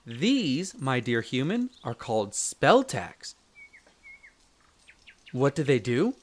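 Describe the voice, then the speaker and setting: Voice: medium pitch, takes it slowly. A performer playing a young male elf explorer, a chatty, friendly guide speaking to the listener in an audio roleplay. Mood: enthusiastic explaining. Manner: expressive, chatty, stammers when flustered.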